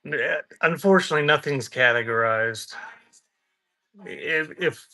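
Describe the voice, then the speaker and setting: A man with a bright, forceful voice of average pitch hosts a casual live sale. He talks off the cuff at a slightly relaxed pace, muttering to viewers between items. Music plays behind him. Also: unhurried. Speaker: live auction seller and host. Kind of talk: casual live-selling chat.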